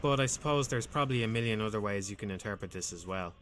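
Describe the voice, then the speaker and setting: A man reading scripted game commentary in a calm, measured, dryly wry way with a soothing voice. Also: on the low side, slight background noise.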